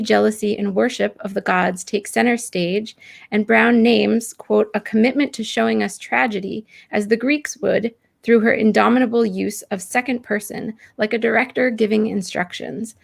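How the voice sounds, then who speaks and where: Creaky-voiced woman hosting a poetry interview. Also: fairly high pitch. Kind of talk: introductions read out, then conversational questions. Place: live online video call.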